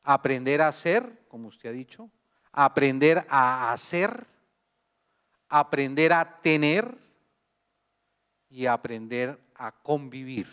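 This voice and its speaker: A Colombian man lectures at a measured, unhurried pace, turning to the audience with questions. His voice is smooth and a touch high. It rings out with a long hall echo. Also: animated, fairly bright tone. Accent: Colombian Spanish. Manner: slow, deliberate, addresses audience with questions. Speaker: guest speaker. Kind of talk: university lecture.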